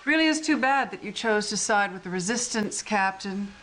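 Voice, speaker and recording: An actress playing a smug, cold traitor spy in a video game, taunting and mocking. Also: female voice, medium pitch, animated, moderate pace, fairly bright tone, lightly acted, rough recording. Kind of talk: scripted video game villain acting. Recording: faint background noise.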